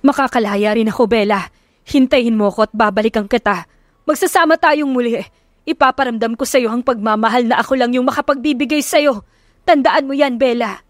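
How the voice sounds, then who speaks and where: A woman's voice: fairly high pitch, brisk, no room sound, studio quality, lightly acted. A woman voicing a vengeful, obsessive ex-husband in an intense, insistent manner for an audiobook. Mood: angry, obsessive. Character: vengeful, obsessive convicted ex-husband, pleading and threatening. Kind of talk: audiobook character acting.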